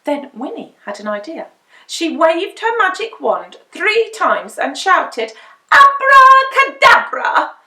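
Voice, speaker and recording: A woman storyteller reads a children's picture book with very lively, swooping intonation. Her voice is bright and slightly high, her delivery dramatic and deliberate, in a roomy echoing space. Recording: roomy indoor echo.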